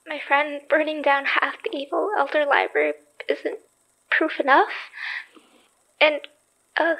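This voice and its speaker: An anxious young woman in a horror audio drama. The voice is high and slow, breaking into earnest, stammered questions. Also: fairly bright tone, exaggerated voice, rough recording. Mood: nervous, questioning. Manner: halting, stammering.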